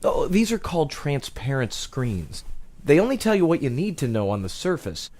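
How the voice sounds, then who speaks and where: Man acting animated, playful, casual guy. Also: on the high side, moderate pace. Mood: playful, curious. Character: casual, playful, chummy male listener with gadget. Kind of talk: audio drama acting.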